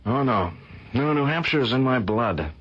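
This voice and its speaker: An actor playing an amiable, earnest young art student with an easygoing manner in an old-style radio drama. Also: male voice, medium pitch, lively intonation, moderate pace, fairly bright tone, breathy edge. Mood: earnest, frank. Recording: dull, lo-fi radio drama recording.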